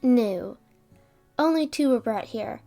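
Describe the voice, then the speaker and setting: A woman playing a stressed, protective female scientist in an audio roleplay drama, speaking deliberately with sighs. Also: on the high side, expressive, gravelly, dark tone, faint music behind, heavily acted. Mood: worried.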